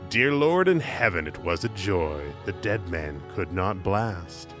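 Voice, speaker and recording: Male poetry narrator: extremely low, slow, dramatic, swelling and hushing, with music underneath. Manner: theatrical, with big swells and drops in volume. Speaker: poetry reader.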